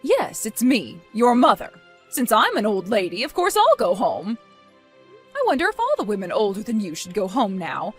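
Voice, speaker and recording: A woman's voice: mid-range voice, wildly swooping pitch, moderate pace, soft background music. A woman voicing a stern, disappointed mother nearing retirement age in a dramatized story, scolding with weary firmness. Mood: sarcastic, hurt.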